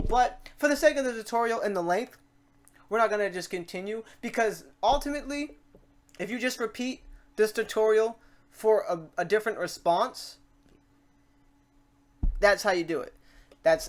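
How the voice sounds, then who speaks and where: Casual software tutorial host, androgynous mid-range voice, informal, thinks aloud while explaining steps. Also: mid-range voice. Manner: informal, talks self through steps.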